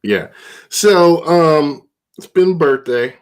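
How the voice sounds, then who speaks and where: A man's voice: fairly high pitch, extremely slow, clean tone. A man hosting a casual podcast, stuffed up and tired, talking in a loose, profane, rambling way. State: congested, stuffed-up. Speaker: podcast host.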